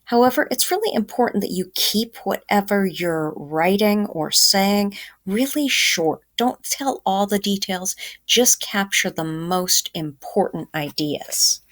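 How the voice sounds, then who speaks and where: A woman's voice: mid-range voice, lively intonation, unhurried. A woman teacher giving children a reading lesson, explaining simply and instructively.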